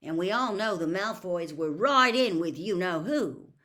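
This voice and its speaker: A woman's voice: on the low side, animated, measured pace, a bit bright. A woman voicing a blunt, impatient teenage boy in a storybook reading. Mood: excited.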